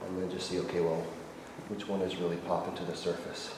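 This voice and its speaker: A middle-aged man with a low voice leads a hands-on massage lesson, chatting easily and giving quick instructions. He is caught from far off by a camera in a large, echoey room with steady noise in the background. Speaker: massage teacher. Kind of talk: hands-on teaching demonstration. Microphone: far handheld camera.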